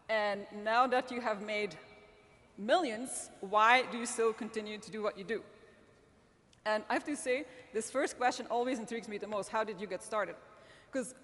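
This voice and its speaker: A middle-aged woman from Belgium, speaking English as a later-learned language, giving an earnest talk to an audience with frequent restarts. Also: fairly high pitch. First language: not English. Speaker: magazine editor-in-chief speaking at a summit. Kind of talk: conference talk to a live audience. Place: large event hall. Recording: long hall reverb.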